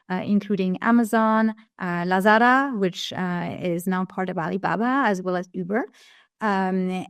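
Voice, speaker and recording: A woman speaks as a panelist, her mid-pitched voice smooth and clean, heard dry over a call. She goes at a measured pace, pausing often with "uh". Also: no echo. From France. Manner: measured, pauses with frequent "uh". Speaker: business coach and panelist. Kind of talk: panel introduction.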